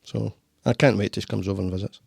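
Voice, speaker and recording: A man's voice: lowish voice, steady tone, fast, gravelly, a little mellow, husky, dry recording. A Scottish man hosting a casual podcast chat from his studio, rambling with frequent restarts. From Scotland. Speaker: podcast host. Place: home studio.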